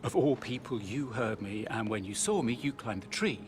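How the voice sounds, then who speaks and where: Man voicing an anxious son of a rich family, soft and unsure, music loud under him. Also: lowish voice, animated, moderate pace, natural voice. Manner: soft, hesitant. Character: troubled grown son of a wealthy family, insecure.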